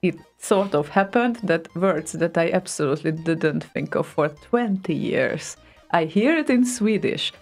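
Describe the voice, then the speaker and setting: Co-host type: low-pitched woman, chatty and giggly, faint music under the chat. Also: expressive, unhurried. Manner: chatty, laughs often, hesitant fillers. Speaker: radio co-host. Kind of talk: casual live radio chat.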